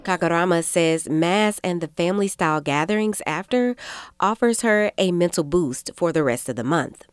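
A woman radio reporter narrating a news feature in a measured, even delivery. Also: lowish voice, clear, resonant tone, dry recording, studio quality.

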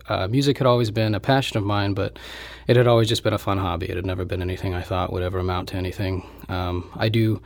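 A young American man with a fairly low voice that often drops into gravelly fry. He speaks casually, as an interview guest answering questions about himself. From Indianapolis, United States.

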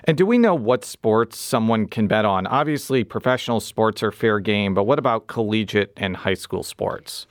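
Low-voiced public radio host, dry wit. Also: male voice, expressive, moderate pace, hardly any pauses, dry recording. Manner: dry self-deprecating humor. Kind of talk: public radio talk show hosting.

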